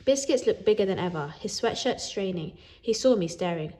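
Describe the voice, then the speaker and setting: A woman reading a children's book aloud in an animated way, as a storyteller who acts out the dialogue. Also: mid-range voice, normal speed, slight background noise.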